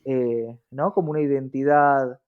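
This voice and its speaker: A man's voice: mid-range voice, fairly even intonation, unhurried, clean tone, narrow, tinny audio. An Argentine man speaking earnestly and reflectively as an interview guest, often checking in with short tag questions. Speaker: interview guest, diversity activist.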